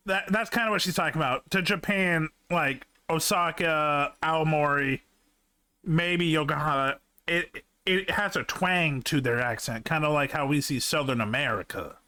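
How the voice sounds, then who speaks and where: A man chatting casually on a stream, reacting excitably and swearing casually. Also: on the high side, moderate pace. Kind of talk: casual chat.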